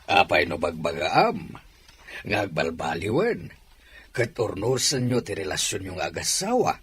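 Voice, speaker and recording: A man's voice: lowish voice, wildly swooping pitch, a little slow, a bit bright, slightly rough, full character voice. A middle-aged man playing an admonishing father in an audio drama, speaking expressively. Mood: earnest, admonishing. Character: father urging his married son to keep peace. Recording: faint background noise.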